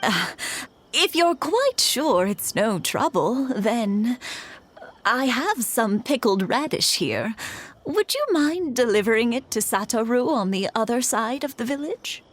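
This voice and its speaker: A woman voicing a kindly elderly village woman in a video game, gentle and doting, with a body full of aches. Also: a little high, very animated, unhurried. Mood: grateful.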